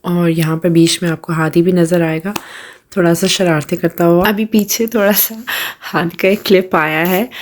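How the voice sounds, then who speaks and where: A woman in her thirties with a Pakistani Urdu accent, narrating her daily routine in a casual voice-over while hoarse from a cold, with hesitations and throat clearing. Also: fairly low pitch, a little mellow. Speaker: vlogger.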